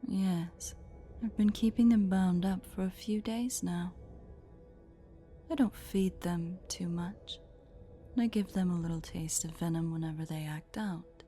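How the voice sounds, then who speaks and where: A woman voicing a possessive, sadistic spider-woman in a roleplay audio drama, smugly playful, with breathy, teasing, condescending coos over music. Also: lowish voice, a little slow, warm tone, natural voice. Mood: smug, amused.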